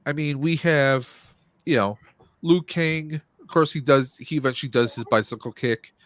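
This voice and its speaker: A man co-hosting a live stream, giving casual movie commentary in a rambling, halting way as he searches for words. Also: medium pitch, a bit bright, no echo.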